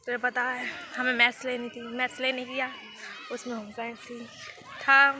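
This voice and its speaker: A woman's voice: fairly high pitch, completely flat delivery, moderate pace, a crowd in the background, background noise. A young woman recording a casual vlog outdoors in the sun, chatting with a friend, teasing her and laughing often. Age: young adult. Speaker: vlogger.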